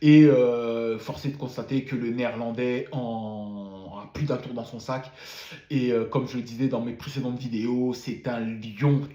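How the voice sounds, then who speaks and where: A man with a cold talks through a race weekend in a loose, halting way, stumbling over repeated words. His voice sits at an ordinary middle pitch. The sound carries a noticeable room echo. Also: steady tone, mellow, highs cut off. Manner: hesitant, many fillers and repeated words. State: has a cold, coughing. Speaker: F1 commentator. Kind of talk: casual post-race debrief.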